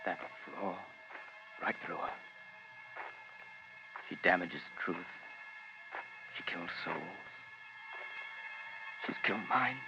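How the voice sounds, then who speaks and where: A man's voice: deep voice, takes it slowly, long pauses. An actor playing a brooding, obsessed young man in intense 1950s radio style. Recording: muffled vintage radio, music behind.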